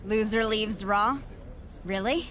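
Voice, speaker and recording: A woman's voice: mid-range voice, takes it slowly, fairly bright tone. A woman voicing a confident young female wrestler in game cutscenes, talking in a cool, sarcastic, self-assured way. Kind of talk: video game cutscene dialogue.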